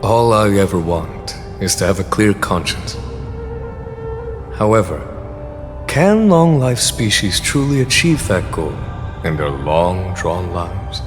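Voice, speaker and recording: An actor playing a calm, shrewd male general in a game, speaking in a measured, politely persuasive way. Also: sits low, heavily acted. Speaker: military general in a game cutscene. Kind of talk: voiced game dialogue. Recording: reverberant game mix with music. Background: orchestral game music.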